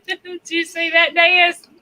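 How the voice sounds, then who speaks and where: Co-host, Southern woman, high and slow, chatty. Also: sharp tone. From North Carolina. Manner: drawn-out, laughs often between remarks. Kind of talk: casual livestream chat.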